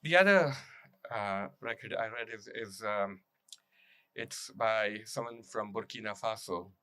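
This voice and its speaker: Bright-voiced man telling stories to the public. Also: fairly low pitch, a little slow, no room sound, clean studio sound. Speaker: author and journalist speaking at a public reading. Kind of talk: public talk with prepared storytelling and read testimony.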